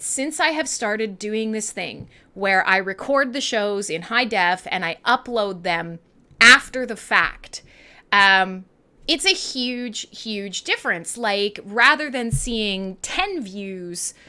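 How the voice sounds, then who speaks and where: Female online radio host, Canadian, higher register, very bright tone, irreverent casual chat. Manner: irreverent, sweary, blunt. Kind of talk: casual live-stream chat with listeners.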